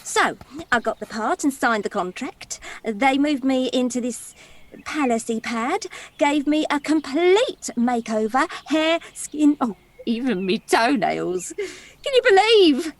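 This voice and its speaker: A woman's voice: high voice, very animated, slow pace, full character voice. A woman playing a cheeky, theatrical young damsel in a stage play, telling her tale in a gossipy, confiding way. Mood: gossipy, amused. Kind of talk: stage play storytelling monologue.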